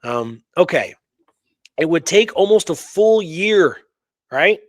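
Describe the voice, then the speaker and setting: A man's voice: high voice, sing-song, slow pace, dry recording. Male history show co-host, close dry sound. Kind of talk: conversational history discussion with read-aloud documents.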